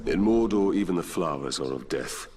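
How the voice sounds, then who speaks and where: An actor playing a grim, stoic ranger in a fantasy game, grave and terse. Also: male voice, sits low, lively intonation, normal speed, a crowd in the background. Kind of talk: video game cutscene acting.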